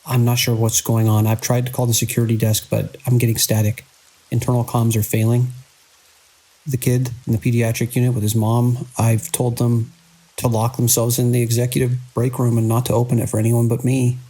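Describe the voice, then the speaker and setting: Actor as gravelly, grave hospital director. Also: male voice, medium pitch, completely flat delivery, fairly quick, warm tone, poor audio. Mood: grim, worried. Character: weary, alarmed hospital director recording logs during an outbreak. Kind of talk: audio drama monologue, spoken log entries.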